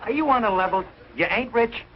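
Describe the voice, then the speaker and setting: A man's voice: high-pitched, animated, fairly quick. An actor playing a middle-aged, big-hearted, blundering working-class American father in a 1940s sitcom, with colloquial, ungrammatical speech. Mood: overjoyed. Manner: colloquial, ungrammatical, plain-spoken. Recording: dull old broadcast with hiss and audience.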